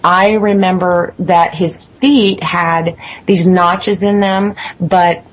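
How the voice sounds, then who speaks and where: A woman's voice: on the low side, fairly even intonation, takes it slowly, slight background noise, low-quality recording. A woman as an interview guest, recounting a personal experience in a hesitant way with many fillers and restarts. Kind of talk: phone interview, personal account. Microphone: phone line.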